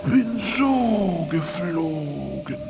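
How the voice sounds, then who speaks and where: An actor playing a hearty, blustering storm giant in a children's radio play, puffing and declaiming in bursts. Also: male voice, on the high side, big pitch swings, drawn-out words, creaky, loud music behind the voice, exaggerated voice, low-quality recording. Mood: blustering, breathless.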